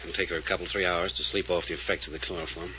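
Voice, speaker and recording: A man's voice: low voice, flat delivery, rapid-fire, sharp tone, a little hiss or hum, low-quality recording. An actor playing a tough, level-headed male detective in an old radio serial, curt and commanding. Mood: calm, matter-of-fact. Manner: curt, take-charge. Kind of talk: 1940s radio serial acting.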